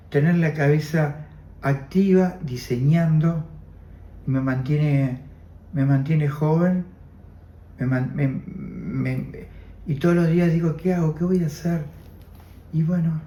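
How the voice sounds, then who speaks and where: An older man in his seventies speaking Spanish with an Argentine accent, Armenian being his first tongue. He talks slowly and reflectively, circling back over his own phrases, in a mellow, slightly raised voice. The room around him is echoey. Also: a little high. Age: 70s. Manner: slow, reflective, repeats phrases. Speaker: interviewee. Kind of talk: oral history testimony.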